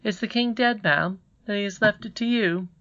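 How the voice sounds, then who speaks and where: An earnest storybook miner boy, played by a reader, with a raised, youthful pitch. He is respectful yet bold, heard on a slightly dull recording. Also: lively intonation, normal speed, lightly acted. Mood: respectful, firm. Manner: polite but bold.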